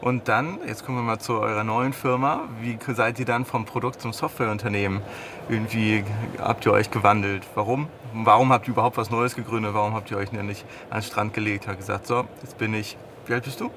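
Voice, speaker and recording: A man's voice: on the low side, steady tone, brisk, background noise. A man hosting a business interview, asking questions in a casual, encouraging way. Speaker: interview host.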